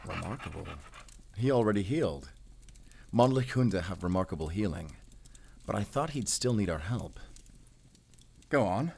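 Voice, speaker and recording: A man playing a seasoned, commanding yet tender werewolf hunter in an audio roleplay, measured and resolute. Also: fairly low pitch, lively intonation, vocal fry, a little mellow, slightly rough, exaggerated voice. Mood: warm, decisive. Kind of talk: cinematic audio roleplay acting.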